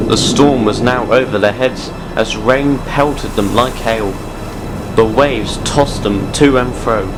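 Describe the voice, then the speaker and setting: A man's voice: mid-range voice, heavy background noise. A man narrating a scripted adventure story for an amateur audio drama.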